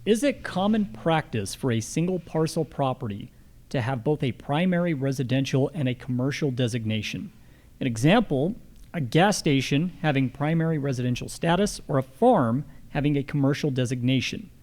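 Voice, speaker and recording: Medium-pitched American man moderating. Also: lively intonation, normal speed, a little mellow. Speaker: forum moderator. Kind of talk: moderating, reading questions.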